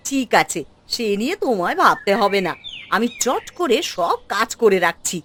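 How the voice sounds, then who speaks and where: A woman voicing a scheming witch posing as a sharp-tongued, scolding village housewife in a fairy-tale cartoon. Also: fairly high pitch, very animated, moderate pace, a little hiss or hum. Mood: placating, brisk.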